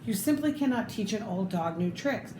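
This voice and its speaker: A woman's voice: mid-range voice, lively intonation, normal speed, lightly acted. Woman as a grave mouse professor, echoey room. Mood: grave, earnest. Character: grave female mouse professor, earnest scientific mind. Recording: roomy echo, clear background noise.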